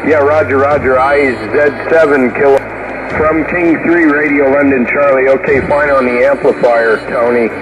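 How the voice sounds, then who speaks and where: A man with an American accent talking casually over a radio transmission as an amateur operator, haltingly, repeating key phrases. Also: medium pitch, steady tone, background noise. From United States. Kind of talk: casual ham radio contact.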